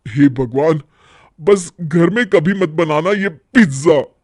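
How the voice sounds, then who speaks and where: A man playing a caring but exasperated young husband in a cartoon story, expressive and reproachful. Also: on the high side, slow pace, dry recording, clean studio sound. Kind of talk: animated Hindi moral-story cartoon acting.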